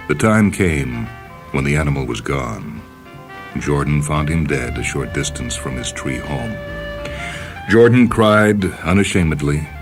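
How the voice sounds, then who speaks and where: A man narrating a short story for radio in a measured, expressive, dramatic style. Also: a bass voice. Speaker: reader of short fiction on a radio show. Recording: music bed under the voice. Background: music.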